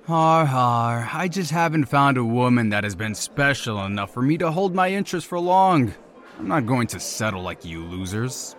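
An actor playing a cocky, abrasive young man in an audio drama, dismissive, sarcastic and quick to sulk. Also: medium pitch, expressive, fairly bright tone, lightly acted. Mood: smug, dismissive.

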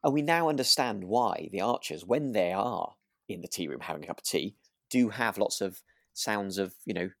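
A man's voice: lowish voice, expressive, normal speed, creaky, no echo, clean studio sound. A man co-hosting a podcast in casual chat about a radio drama, agreeable, with hesitant, trailing phrasing. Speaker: podcast co-host.

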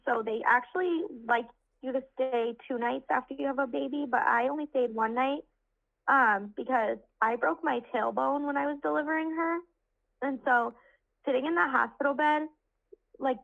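Young woman co-host with a gravelly, slightly high voice; easygoing, muffled lo-fi sound. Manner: easygoing storyteller, laughs often. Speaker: podcast co-host. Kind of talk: casual chat. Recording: dull lo-fi sound.

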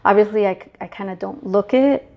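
A middle-aged woman from Mexico, chatting as a guest in a casual interview, relaxed, with many fillers and restarts. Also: medium pitch, fairly quick, creaky, dull audio. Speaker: guest, business manager.

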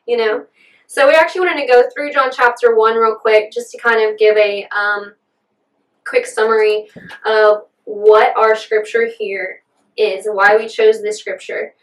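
A woman's voice: on the high side, fairly even intonation, measured pace, reverberant, far from the mic, low-quality recording. A woman in her twenties co-hosting a casual faith podcast, earnest and bubbly, laughing often. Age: 20s. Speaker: podcast co-host.

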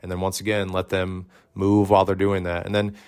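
A man with a lowish, loud voice giving relaxed podcast advice to fellow gym coaches. He rambles a little, with plenty of ums and uhs, and sounds like an experienced coach in his thirties. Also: fairly quick, smooth. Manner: conversational, many fillers, says his voice is loud. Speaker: fitness coach. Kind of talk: instructional podcast talk.

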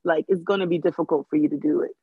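A woman born in the Dominican Republic and raised in Maryland speaks earnestly on a podcast, pausing on fillers as she thinks. Her voice sits a little low. It comes through thin and tinny, like a phone line, with a dry, close sound. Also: steady tone, vocal fry, husky, no room sound. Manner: earnest, thoughtful, hesitant with fillers. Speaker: guest, Airbnb host. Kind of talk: casual podcast chat.

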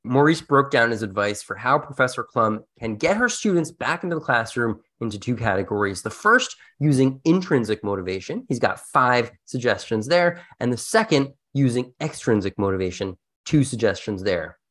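A podcast host talks in a very lively voice with lots of pitch movement. The delivery is scripted but conversational, pitched for listeners of an educational show. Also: moderate pace, few pauses. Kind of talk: scripted, animated podcast presenting.

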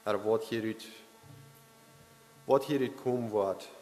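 A man giving a Christmas sermon, reading verses and explaining them haltingly, with frequent hesitations. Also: on the low side, darkish tone, a little hiss or hum. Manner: halting, with many restarts and hesitations. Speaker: lay preacher. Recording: long reverb of a hall.

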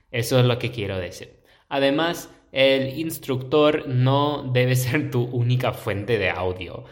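A young American man, a native English speaker, hosting a solo educational podcast and explaining his points in a measured, reflective way. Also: on the low side. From United States. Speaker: podcast host.

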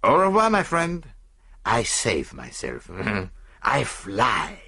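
Fussy vain belgian detective for an audiobook, french-type accent, bit raspy, pitch all over the place, precise and showy. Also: male voice, wildly swooping pitch, slow, bright, forceful tone, full character voice. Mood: playful, teasing. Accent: French-speaking Belgian. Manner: precise, self-satisfied, theatrical delivery. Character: vain, theatrical, fussy middle-aged Belgian male detective. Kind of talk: audiobook character dialogue.

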